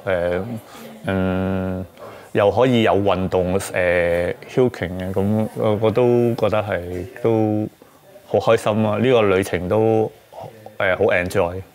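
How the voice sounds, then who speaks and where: Slow, low-voiced Hong Kong man. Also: a little mellow. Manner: halting, searching for words, mixing languages. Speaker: interviewee. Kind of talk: reflective on-camera interview. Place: small book-lined room, indoors.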